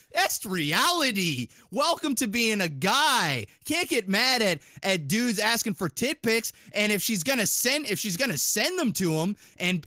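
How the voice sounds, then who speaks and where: A man with a distinctly high voice holds forth on a podcast in a dry-sounding recording. His delivery is casual and animated, wandering through arguments with plenty of swearing and strong opinion. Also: no room sound. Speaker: podcast host.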